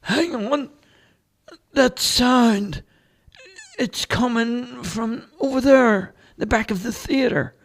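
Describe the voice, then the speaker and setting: A man voicing Dale, a homeless street artist who talks earnestly and dreamily, read from a play script with a gravelly edge. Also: very high voice, very slow, voice pushed up, exaggerated voice. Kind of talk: amateur play read aloud.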